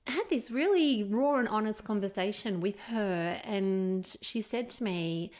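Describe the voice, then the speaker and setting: A woman's voice: medium pitch, a little slow, darkish tone. Candid Australian woman podcaster, middle-aged. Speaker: podcast co-host. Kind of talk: casual conversational podcast chat.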